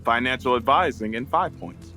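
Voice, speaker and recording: An actor playing an earnest, conciliatory adult brother who gets exasperated, in an audio drama. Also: male voice, mid-range voice, normal speed, pushed and bright, faint music behind, close to an everyday voice. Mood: guarded, sheepish.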